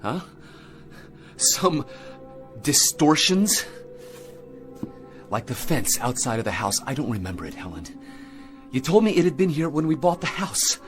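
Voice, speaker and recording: A shaken American astronaut in a radio drama, earnest and halting as he insists on his sanity. His pitch moves widely around a middle register. Light music sits behind him. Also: sing-song. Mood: troubled, insistent. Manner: halting, earnest delivery with repeated words. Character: troubled male astronaut, shaken husband insisting he is rational.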